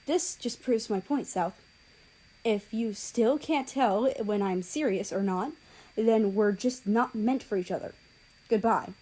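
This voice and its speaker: A woman's voice: mid-range voice, a little slow, dark tone, pitched above the performer's own voice, natural voice, low-quality recording. A woman voicing an indignant, fiercely loyal teenage girl in an audiobook story, emphatic and offended. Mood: resigned, bitter.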